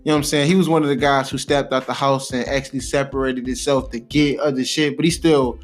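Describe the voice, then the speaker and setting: A man's voice: medium pitch, steady tone, quick pace, faint music behind. A young American man in casual, slangy, profane podcast storytelling, repeating himself for emphasis. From Cleveland, United States. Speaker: podcast co-host.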